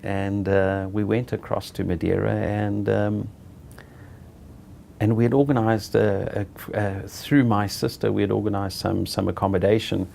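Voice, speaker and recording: A middle-aged South African man being interviewed, telling his own story in an unhurried, halting way and often correcting himself. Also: low-pitched, gravelly, warm tone, slight background noise. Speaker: interviewee, property director. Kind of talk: reflective personal storytelling.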